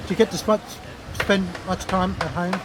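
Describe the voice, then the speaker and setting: A man's voice: high voice, steady tone, moderate pace. A man interviewing a cyclist's team director outdoors, asking casual, chatty questions with a teasing edge. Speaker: cycling reporter. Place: outdoors at a race finish. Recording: outdoor crowd noise behind. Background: crowd and vehicles.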